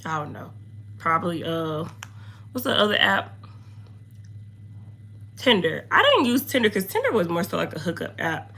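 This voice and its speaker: A young woman with a hoarse voice chatting casually with her viewers while reading their comments. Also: big pitch swings, moderate pace, long pauses, pushed and bright, a little hiss or hum. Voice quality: low, slightly deep voice. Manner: chatty, talks to viewers, drifts off topic. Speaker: makeup streamer.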